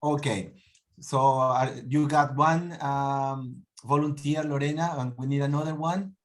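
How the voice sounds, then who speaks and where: A man's voice: medium pitch, steady tone, unhurried. A man from Peru hosting an online meeting, friendly and joking, often repeating his words. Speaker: meeting host. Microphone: video call.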